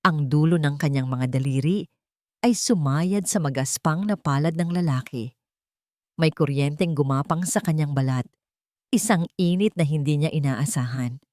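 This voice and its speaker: A woman's voice: low voice, moderate pace, a little mellow, no echo, studio quality. A woman narrating a scripted romantic story in a dramatic storytelling style.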